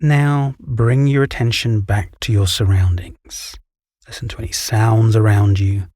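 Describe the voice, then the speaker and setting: A man guiding a relaxation meditation, giving calm, soothing, measured instructions. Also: on the low side, dry recording, clean studio sound. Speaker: meditation guide. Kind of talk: guided relaxation meditation, scripted narration.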